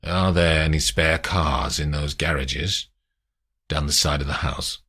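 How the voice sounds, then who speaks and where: A man reading aloud voices a hunted crime boss who speaks in a measured, quietly threatening way. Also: rumbling low, breathy edge, pitched below the performer's own voice, heavily acted. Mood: calm menace. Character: hunted male crime boss, calm and menacing.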